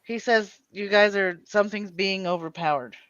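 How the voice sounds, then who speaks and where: A flustered stream host chats casually and swears, in a middling-pitched voice. The sound is a dull, lo-fi voice call with no room echo. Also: normal speed, fairly bright tone. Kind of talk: casual chat. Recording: dull, lo-fi voice chat.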